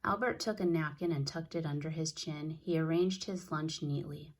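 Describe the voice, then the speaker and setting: A woman reads a children's story aloud. Her voice sits a little low as she narrates. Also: moderate pace. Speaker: storybook reader.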